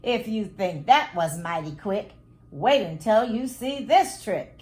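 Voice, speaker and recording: A woman's voice: mid-range voice, animated, takes it slowly, a little mellow, reverberant. A woman reading a storybook voices a cocky, boastful young male hare with a showy, bragging manner. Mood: showing off.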